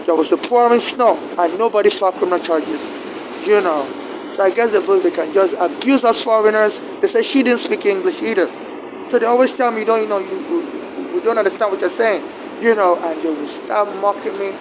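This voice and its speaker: A man from Nigeria with a Nigerian accent, whose first language is not English, giving a personal account in a halting way, repeating words and restarting. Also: high-pitched, background noise.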